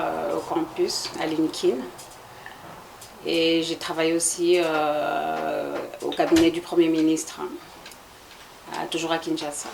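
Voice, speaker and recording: A woman's voice: low-pitched, creaky, slight background noise, low-quality recording. A woman from Congo in her forties, answering interview questions in a hesitant, explanatory way with many fillers. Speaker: guest, association president.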